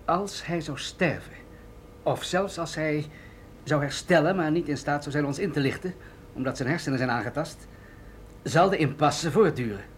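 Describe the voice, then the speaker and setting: Radio play general, played by a man: slightly high male voice, grave, commanding, explanatory, with rumbling background noise. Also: normal speed, natural voice. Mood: earnest, persuasive. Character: authoritative male military general briefing an agent, grave and deliberate. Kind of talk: radio drama acting.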